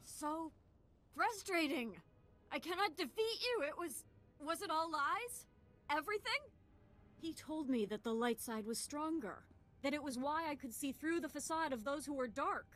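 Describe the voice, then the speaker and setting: A young female apprentice in a space fantasy game. Her voice sits somewhat high, and she speaks with earnest, troubled intensity and open emotion. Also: lively intonation, normal speed, a bit bright. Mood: anguished. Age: young adult. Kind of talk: video game voice acting.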